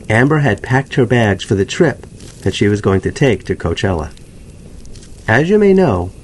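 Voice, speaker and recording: A man narrating a scripted dramatic story with deliberate, theatrical phrasing and pauses. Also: medium pitch, a little mellow, a little hiss or hum.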